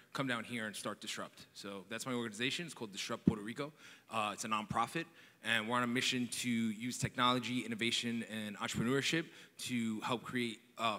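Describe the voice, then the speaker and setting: A man from New York speaking on a panel with a bright, forceful edge to a lowish voice. He tells his story with earnest energy, and a large hall's long reverb trails after his words. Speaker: panelist, nonprofit founder. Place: large conference hall.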